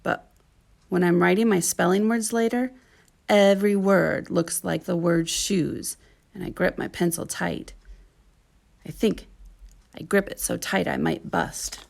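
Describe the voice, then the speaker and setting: A woman reads a children's story aloud with expressive, deliberate phrasing. Her voice is heavily creaky and she takes her time over the sentences. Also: lowish voice. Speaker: teaching artist reading a storybook. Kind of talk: scripted children's story narration.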